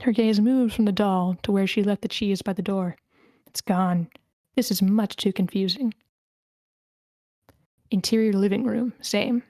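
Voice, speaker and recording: A woman's voice: mid-range voice, steady tone, measured pace, long pauses, dark tone, no room sound, studio quality. A woman reading a screenplay's stage directions as the narrator in scripted narration.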